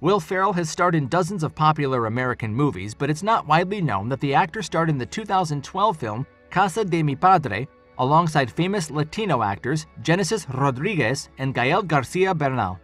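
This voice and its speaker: A man's voice: on the high side, lively intonation, brisk, a bit bright, soft background music. A man narrating a compilation video in a scripted, upbeat way.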